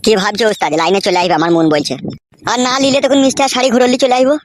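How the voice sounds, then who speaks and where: A woman's voice: fairly high pitch, expressive, racing, a little hiss or hum, close to an everyday voice. A woman voicing a timid, loyal young male henchman in a comic cartoon, pleading and servile. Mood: eager, scheming.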